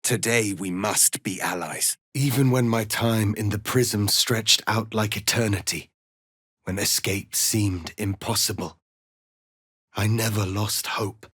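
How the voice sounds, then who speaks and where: A man playing a proud, stern alien warrior prince in a fantasy video game, speaking formally and gravely with commanding, measured delivery. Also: lowish voice, fairly bright tone, no room sound, clean studio sound, exaggerated voice. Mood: stern, bitter. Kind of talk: dramatic fantasy game dialogue.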